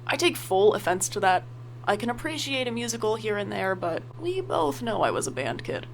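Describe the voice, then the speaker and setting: A woman's voice: mid-range voice, big pitch swings, normal speed, vocal fry, slight background noise, rough recording. A woman playing an anxious, sardonic older sibling in an audio drama, with a dry, wry, self-deprecating way of talking. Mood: sardonic, nervous. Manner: dry, wry, theatrical sarcasm. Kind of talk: audio drama acting with first-person narration.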